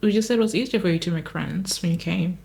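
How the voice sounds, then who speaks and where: A woman's voice: lowish voice, quick pace, vocal fry, a little mellow. A young woman hosting a casual chat, agreeable and supportive, often using short interjections. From Zimbabwe. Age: young adult. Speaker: podcast host.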